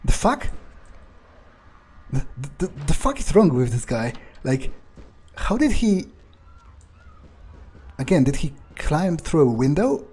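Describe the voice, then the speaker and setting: A man's voice: a little high, sing-song, slow, long pauses, darkish tone, breathy edge. A man improvising in-character commentary over a game, thinking aloud hesitantly, repeating words and swearing casually. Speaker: gamer doing in-character playthrough.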